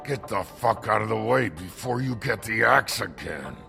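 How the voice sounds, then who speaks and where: A man's voice: fairly low pitch, animated, moderate pace, pushed and bright, soft background music, full character voice. A man voicing a drunken, brutish woodsman in a video game, snarling, belligerent and foul-mouthed. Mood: belligerent taunting. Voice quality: gravelly.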